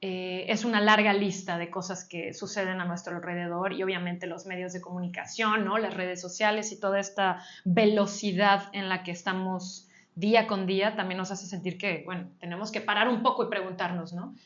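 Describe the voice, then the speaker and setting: A woman from Mexico co-hosts a podcast at a middling pitch. She talks in a thoughtful, measured way and stacks phrase after phrase into lists. The recording is slightly muffled. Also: steady tone, fairly bright tone. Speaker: podcast co-host. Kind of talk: reflective podcast conversation. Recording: slightly dull lo-fi sound.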